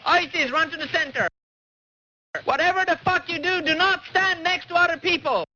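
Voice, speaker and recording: A man's voice: very high-pitched, moderate pace, pushed and bright, highs cut off. A man leading an online game raid, angrily barking repetitive, profane orders over old voice chat. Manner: angry, profane, commanding, repetitive.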